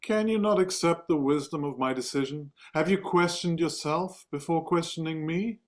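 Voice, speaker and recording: A man's voice: fairly high pitch, moderate pace, lightly acted. A man playing a stern, dismissive office boss with a curt, condescending manner in a dramatic table read. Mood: calm, dismissive.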